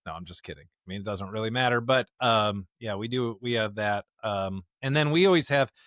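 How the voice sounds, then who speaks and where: A man's voice: lowish voice, brisk, fairly bright tone, no room sound, clean studio sound. An American man co-hosting a podcast as a pastor, talking casually and informally in a chatty way.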